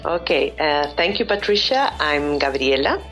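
A woman's voice: low-pitched, expressive, fairly bright tone, faint music behind. A woman from Chile with Spanish as her first language, a psychologist and expat coach, talking casually as an interview guest, often restarting sentences and laughing. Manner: halting, many restarts and fillers, laughs often.

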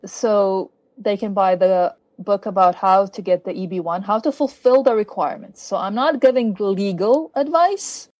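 A woman's voice: medium pitch, darkish tone, dry recording, muffled recording. Foreign-accented woman guest, earnest, lots of ums, dry close sound. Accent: foreign-accented English. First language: not English. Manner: earnest, explanatory, with hesitations. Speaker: podcast guest. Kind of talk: casual interview chat.

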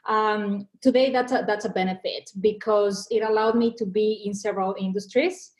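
A woman's voice: medium pitch, steady tone, moderate pace, darkish tone. A woman from Mexico with a Mexican accent, a marketing executive speaking as a podcast guest in an earnest way, often restarting and repeating words. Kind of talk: podcast interview.

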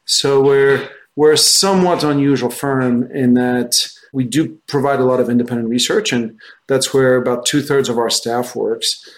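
A middle-aged man from France, a guest answering interview questions in a relaxed way, often restarting his sentences. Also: mid-range voice, normal speed, rough recording. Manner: relaxed, with frequent restarts and fillers. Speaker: guest, research firm founder.

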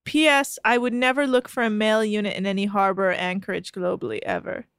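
A woman's voice: medium pitch, fairly even intonation, fast, a bit bright, clear, resonant tone, dry recording, studio quality. A woman co-hosting a casual podcast chat, reading posts aloud with amused disbelief. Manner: amused, incredulous, laughing. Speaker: podcast co-host.

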